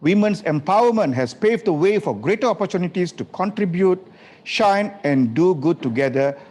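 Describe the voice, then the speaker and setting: Male emcee, voice on the high side, upbeat and emphatic with scripted introductions. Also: animated, moderate pace, darkish tone. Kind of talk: scripted event announcing.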